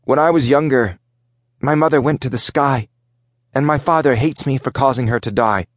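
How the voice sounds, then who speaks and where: A man voicing an earnest, defiant ten-year-old boy in an audiobook, speaking with quiet determination. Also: mid-range voice, moderate pace, dry recording, clean studio sound, pitched above the performer's own voice, lightly acted. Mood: earnest, determined. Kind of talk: audiobook character voice.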